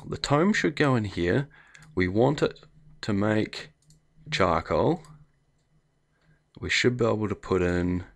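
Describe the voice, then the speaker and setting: Low gravelly New Zealand man, chatty. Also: a bit bright. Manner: chatty, thinking aloud while clicking through menus. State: slightly croaky voice. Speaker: gameplay video host. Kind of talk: casual let's play commentary.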